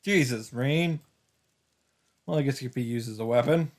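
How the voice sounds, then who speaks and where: A man's voice: medium pitch, normal speed, darkish tone. A man hosting a let's play, reading a visual novel's first-person narration aloud with occasional asides of his own. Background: game music.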